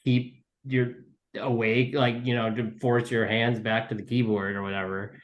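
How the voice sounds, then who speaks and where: A man's voice: medium pitch, flat delivery, unhurried, muffled recording. A man talking casually as a writer being interviewed, in an informal, rambling way. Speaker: writer and podcast guest.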